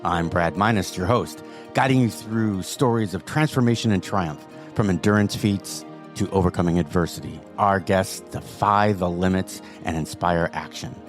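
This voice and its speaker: A man's voice: sits low, normal speed, soft background music. A man hosting his own podcast, talking in a hype-driven, effusive way as he introduces guests. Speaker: podcast host.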